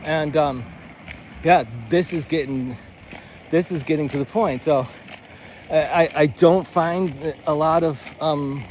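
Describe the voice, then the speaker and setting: A man giving a rambling, informal lesson as a writing coach, thinking aloud in a halting, stammering way and often wandering off on tangents. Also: fairly high pitch, steady tone, a little mellow, slight background noise. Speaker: writing coach, presenter of a video series.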